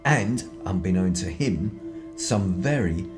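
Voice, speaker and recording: A man plays a cocky schemer from a radio drama, arch and theatrical. His pitch swoops widely through wordy, old-fashioned banter, with music underneath. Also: expressive, takes it slowly. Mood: sly scheming. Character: cocky, scheming young-at-heart spy, calculating and theatrical.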